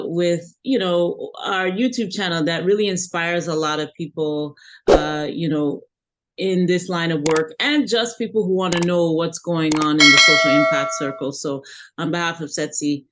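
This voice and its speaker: A man's voice: on the high side, moderate pace, very noisy. A man hosting an interview, effusive and heavy on "you know" fillers. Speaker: interview host.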